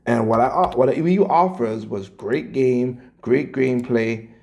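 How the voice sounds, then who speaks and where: A man with a scratchy, hoarse voice and a dark, mellow tone rants casually, blunt and profane. He trips over his words and repeats himself as he goes. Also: medium pitch, measured pace. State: hoarse, coughing. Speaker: commentator reacting to clips. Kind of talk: casual rant.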